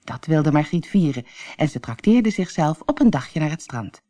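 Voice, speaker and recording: A woman reading a scripted children's story as an expressive storybook narrator. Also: low voice, normal speed. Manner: expressive, acts out dialogue.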